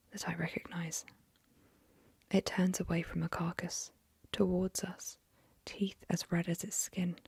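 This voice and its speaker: A young woman whispering an ASMR story reading into a close mic, softly, with gentle fillers. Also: lowish voice, breathy edge, dry recording. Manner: soft whisper, chatty with fillers after the story.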